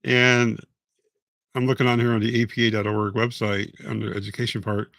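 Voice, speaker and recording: A man going live on a casual stream, hoarse and raspy, talking in a rambling, halting way with frequent restarts. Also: fairly low pitch, little pitch movement, fairly bright tone, no room sound. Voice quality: raspy, voice fading. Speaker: patient vlogger going live.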